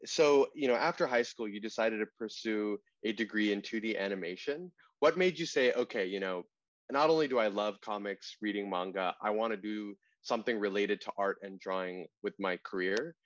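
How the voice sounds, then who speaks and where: A man's voice: lowish voice, moderate pace, dry recording. Interviewer: man, upbeat, dry, muffled recording. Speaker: podcast interviewer. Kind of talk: interview questions. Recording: dull lo-fi sound.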